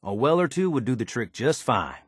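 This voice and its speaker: A modest wasteland handyman for a video game. He is a man of mid-range pitch who moves up and down freely as he talks. His phrasing is folksy and wry, and the sound is dry and close. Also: sing-song, brisk, husky, no room sound. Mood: practical. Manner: folksy, colloquial, easygoing phrasing. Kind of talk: video game character dialogue.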